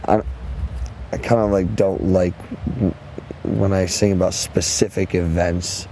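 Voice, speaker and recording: Hoarse young musician guest, gravelly low voice, easygoing and rambling, faint outdoor noise. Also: male voice, fairly even intonation, a little slow, warm tone, poor audio. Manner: laid-back, laughs often, rambling. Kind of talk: casual interview chat. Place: outdoors at a festival.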